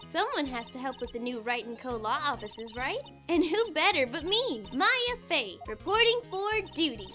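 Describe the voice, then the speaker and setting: A woman's voice: high-pitched, sing-song, normal speed, hardly any pauses, music in the background. A woman playing a perky, teasing, bossy teenage girl sidekick in a game fandub, with a bubbly, cheeky manner. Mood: eager, playful. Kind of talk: fandub voice acting of a visual novel game.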